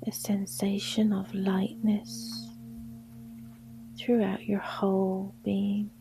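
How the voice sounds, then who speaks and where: A woman leads a restful guided meditation in a soft, breathy near-whisper. Her delivery is very slow and very even, gentle and soothing. Gongs and singing bowls hum faintly behind her voice. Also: mid-range voice, rough recording. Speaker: yoga nidra guide.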